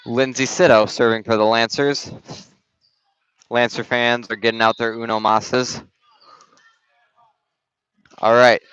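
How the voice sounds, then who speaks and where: Young man calling volleyball, smooth yet slightly raspy. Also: mid-range voice, fairly bright tone, no echo. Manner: casual, halting play-by-play with restarts. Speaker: volleyball play-by-play announcer. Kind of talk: amateur sports commentary. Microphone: headset. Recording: dull, dry gym-stream audio.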